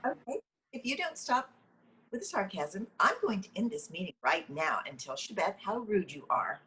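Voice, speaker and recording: A woman's voice: mid-range voice, very animated, moderate pace, pushed and bright, slight background noise, poor audio. A woman playing a frazzled, defensive, lovestruck goddess in a comedy table reading, flustered and insistent. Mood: scolding. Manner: flustered, insistent, nervous laughs. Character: frazzled, defensive, delusional lovestruck goddess Luella, meeting leader.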